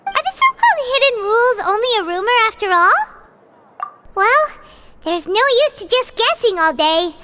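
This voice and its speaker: A woman voicing an excitable, childlike little fairy companion in a video game, squeaky and full of exclamations. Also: heavy background noise. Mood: curious, hungry. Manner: speaks of herself in the third person, exclaiming. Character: small childlike floating fairy companion, excitable, food-loving. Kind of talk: video game character dialogue.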